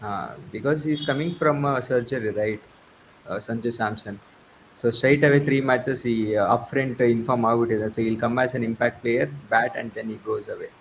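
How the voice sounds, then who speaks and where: A man's voice: mid-range voice, fairly even intonation, quick pace, creaky, poor audio. A man with a South Indian accent chatting as a cricket panelist, emphatic and given to repeating his phrases. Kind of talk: casual panel discussion. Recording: faint background noise.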